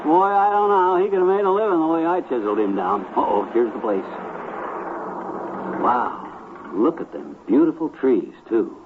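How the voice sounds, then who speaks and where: A man's voice: mid-range voice, big pitch swings, normal speed, lightly acted. A man playing a boastful, cocky cheapskate husband who loves to haggle, in a slangy 1950s radio comedy style. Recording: tinny, hissy 1950s radio recording.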